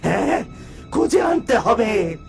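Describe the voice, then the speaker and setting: A man voicing the vengeful, menacing ghost of a wronged villager in an animated horror story. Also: sits high, vocal fry, heavily acted. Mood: demanding, aggrieved. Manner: menacing, drawn-out demands. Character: vengeful male ghost of a villager burned alive. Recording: music behind the voice.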